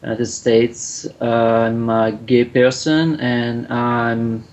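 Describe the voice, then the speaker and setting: A man in his thirties from Russia with a Russian accent, answering interview questions in halting English full of "uh" fillers, over a webcam video call in a small room. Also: mid-range voice, little pitch movement, darkish tone. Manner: halting, many "uh" fillers, searching for English words. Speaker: human rights activist, interviewee.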